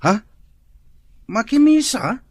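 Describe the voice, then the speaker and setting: A man's voice: extremely high pitch, very animated, slow pace, a little mellow, no echo, exaggerated voice. A man playing a cheeky but respectful family driver in an audio drama, deferential with a teasing streak. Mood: puzzled, teasing. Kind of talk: melodramatic audio drama acting. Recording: clear vehicle noise behind.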